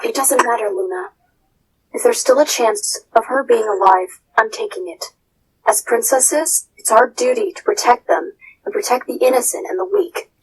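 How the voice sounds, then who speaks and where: A woman's voice: on the high side, animated, moderate pace, slight background noise, natural voice, low-quality recording. A woman voicing royal pony princess sisters in a fan story, with formal, dramatic delivery. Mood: earnest, worried. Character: two royal pony princess sisters, one scornful, one earnest.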